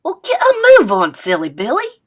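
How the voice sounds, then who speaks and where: A woman's voice: sits high, huge pitch swings, normal speed, pitched above the performer's own voice. A woman reading aloud and voicing a spunky, playful elderly grandmother who talks in a sassy, folksy way.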